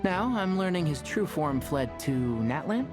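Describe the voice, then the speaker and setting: A man voicing a knowledgeable, calm, friendly young scholar in a video game, articulate and explanatory. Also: fairly high pitch, measured pace. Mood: composed. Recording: game music behind.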